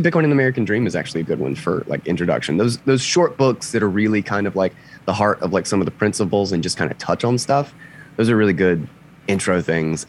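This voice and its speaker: A man with a lowish voice talks as an interview guest. He sounds a little congested, as though getting his voice back. His explanations are casual and halting, worked out as he speaks. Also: slight background noise. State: slightly congested, recovering from lost voice.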